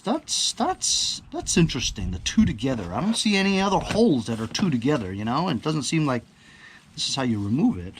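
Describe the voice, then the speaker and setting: A man's voice: mid-range voice, very animated, brisk. Rambling man reviewer with background noise. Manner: rambling, thinking aloud, often checking in with the viewer. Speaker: product reviewer. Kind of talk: casual unscripted unboxing chat. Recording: clear background ambient noise.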